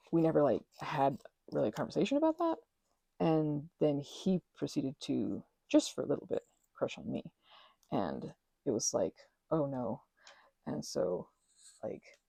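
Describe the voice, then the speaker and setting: Low husky lady rambling on, lots of likes and ums, mellow dark tone. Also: no room sound. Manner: soft, meandering, many fillers and self-corrections. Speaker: ASMR channel host. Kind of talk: rambling personal chat. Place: quiet room at a craft table.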